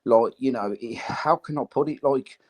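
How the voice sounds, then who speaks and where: A man in his forties rambles through a casual livestream chat, full of fillers and frequent swearing. His voice sits at an ordinary middle pitch. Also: moderate pace, a little mellow, rough recording. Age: 40s. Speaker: guest on a livestream.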